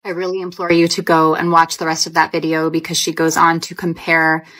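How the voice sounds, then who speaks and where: A woman explaining an online phrase to camera in a measured way. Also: low-pitched, monotone, bright, forceful tone. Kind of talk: casual video commentary.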